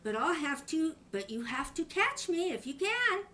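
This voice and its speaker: A woman's voice: high-pitched, very animated, unhurried, bright, forceful tone, a little hiss or hum, pitched above the performer's own voice, exaggerated voice. An older woman voicing a small, cocky gingerbread man in a storybook, boasting in a sing-song way. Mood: cocky, teasing.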